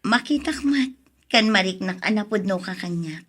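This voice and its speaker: An actress playing a shy, single young woman in an audio drama, gentle and sincere in her delivery. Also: medium pitch, expressive, moderate pace, natural voice. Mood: tender, sincere. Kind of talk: Ilocano audio drama acting.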